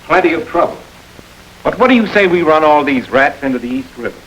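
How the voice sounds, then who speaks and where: A man's voice: fairly high pitch, animated, brisk, fairly bright tone, rough recording. An idealistic district attorney from an old crime picture, speaking with an earnest, speechmaking lilt that rises and falls a lot. A faint hiss sits under his voice. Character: idealistic new district attorney rallying men. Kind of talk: 1930s film crime drama.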